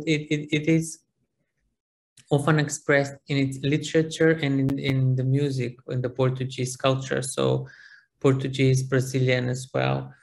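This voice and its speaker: A man whose first language is Macedonian speaks in English during an online class discussion, pausing often and searching for words. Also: mid-range voice, vocal fry. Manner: hesitant, searching for words, many fillers.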